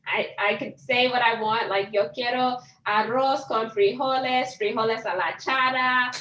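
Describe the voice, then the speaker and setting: A woman's voice: on the high side, fairly even intonation, normal speed, a bit bright, lo-fi sound, a little hiss or hum. A woman giving an encouraging motivational talk on a video call. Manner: upbeat, encouraging, rousing. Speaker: motivational speaker.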